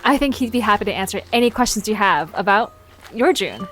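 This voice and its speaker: A woman's voice: mid-range voice, lively intonation, fairly quick, a bit bright, soft background music, close to an everyday voice. A woman playing a friendly, tender-hearted and slightly nervous young woman in an audio drama, speaking sincerely. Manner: hesitant, sincere. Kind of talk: audio drama character acting.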